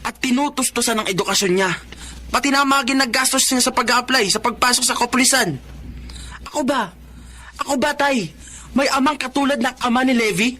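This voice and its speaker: A man's voice: very high voice, brisk, bright, forceful tone, exaggerated voice. An actor playing a young man training to be a police officer who confronts his father, in a radio-style drama. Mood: bitter.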